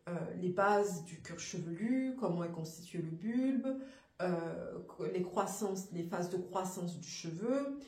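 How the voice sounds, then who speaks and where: A woman with a hoarse voice from a cold, presenting an afro hair care course in a halting, thinking-aloud, earnest way. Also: lowish voice, lively intonation, a little mellow, reverberant. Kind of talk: informal spoken course presentation.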